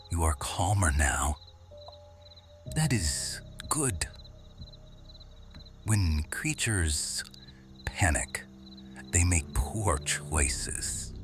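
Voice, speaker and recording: Very deep, slow serpent guardian played by a man. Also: full character voice. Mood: gently amused. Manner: hushed, deliberate, often dropping into whispers. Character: quiet, watchful male serpent shifter guardian, gently possessive and calm. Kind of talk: roleplay ASMR, slow intimate delivery.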